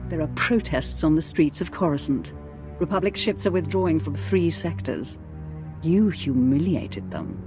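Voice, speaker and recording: Low, sly, seductive Sith commander. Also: female voice, lively intonation, moderate pace, over music. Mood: gloating. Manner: teasing, languid, sardonic. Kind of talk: dramatic video game voice acting.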